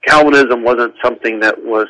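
A man with a bright, forceful voice explains scripture on a radio talk show. He talks earnestly, often restarts his sentences and repeats his words as he goes. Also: mid-range voice, brisk, poor audio. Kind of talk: conversational teaching on a call-in show.

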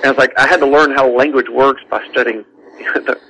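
A man with a bright, forceful voice explains scripture on a radio talk show. He talks earnestly, often restarts his sentences and repeats his words as he goes. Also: medium pitch, steady tone, brisk, a little hiss or hum, rough recording. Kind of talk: conversational teaching on a call-in show.

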